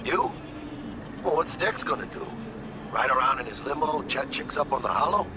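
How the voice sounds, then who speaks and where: A man's voice: medium pitch, moderate pace, background noise, natural voice. A man playing a brash, jovial street fighter. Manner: lively, animated, with Spanish slang. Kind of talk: video game voice acting.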